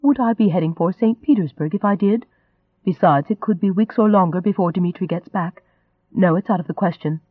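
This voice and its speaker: A man voicing a proud, sharp-tongued young Englishwoman in an audiobook, indignant and biting. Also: high-pitched, brisk, dry recording, narrow, tinny audio. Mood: exasperated.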